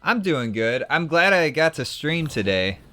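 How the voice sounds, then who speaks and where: A man's voice: fairly high pitch, very animated, a little slow, sharp tone, faint music behind. A young man casually chatting while streaming a card game, telling a rambling story with frequent restarts. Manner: rambling, self-correcting storyteller. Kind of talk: casual gameplay chat and anecdote.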